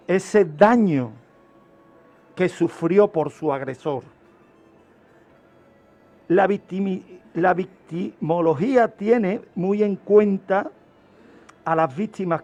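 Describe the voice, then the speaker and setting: A man's voice: high-pitched, darkish tone. A middle-aged man from Spain with a Spanish accent, explaining like an expert on a radio talk, emphatically and step by step. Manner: didactic, emphatic, builds explanations with examples and short phrases. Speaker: criminologist guest expert.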